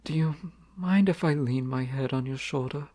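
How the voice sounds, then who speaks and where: A man voicing a shy young crown prince in a romantic audio roleplay, speaking softly and intimately, often near a whisper. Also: medium pitch, moderate pace, lightly acted. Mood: hushed intimate.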